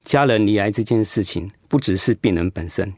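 A man acting in a radio drama as a gentle, sympathetic doctor who explains and consoles in a measured way. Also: on the low side, expressive, no echo, clean studio sound. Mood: consoling. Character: gentle male doctor, calm, sympathetic hospital director.

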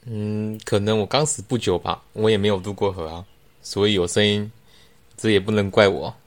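A man's voice: fairly low pitch, fairly even intonation, moderate pace, lightly acted. A teenage boy playing a sly young man who feigns innocence, in an amateur radio drama. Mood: innocent.

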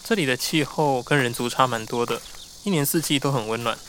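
A man acting as a calm, cautious guide in an audio drama, explaining things in a matter-of-fact way. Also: medium pitch, brisk, slight background noise, natural voice. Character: calm, cautious male guide to a hidden tribe's land. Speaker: voice actor.

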